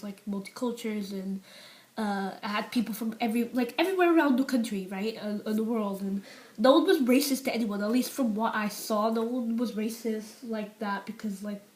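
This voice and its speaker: A woman's voice: medium pitch, rough recording. A seventeen-year-old girl from the UK, talking openly to camera with lots of fillers and restarts, her voice sometimes dropping to a near whisper. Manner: rambling, many fillers and restarts; drops to a near whisper on painful topics. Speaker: vlogger. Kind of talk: unscripted personal confession to camera.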